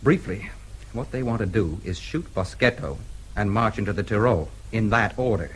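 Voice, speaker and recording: An actor playing a concerned, authoritative gentleman in a radio drama, calm, measured and matter-of-fact. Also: fairly low pitch, a little hiss or hum, rough recording. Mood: calm, grave.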